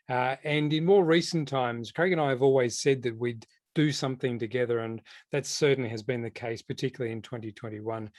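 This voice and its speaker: A man's voice: medium pitch, no room sound, studio quality. A middle-aged man with a New Zealand accent, an education consultant introducing himself at a conference session with jokey, self-deprecating asides. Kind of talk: conference presentation.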